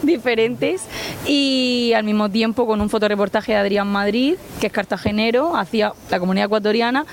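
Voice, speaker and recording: A woman's voice: on the high side, a little hiss or hum. A woman with an accent from Spain explaining informally as an exhibition organiser, often searching for words. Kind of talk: informal spoken explanation in an interview.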